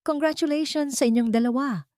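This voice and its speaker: Narrator as calm, cutting wronged wife, dry sound. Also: female voice, high-pitched, brisk, dry recording, pitched above the performer's own voice, natural voice. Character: calm, sharp-tongued wronged wife exposing betrayers.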